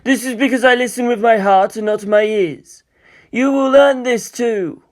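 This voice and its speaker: A man's voice: very high voice, normal speed, voice pushed up. A man voicing a serene, learned old monk teacher who explains things formally. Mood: serene, explanatory.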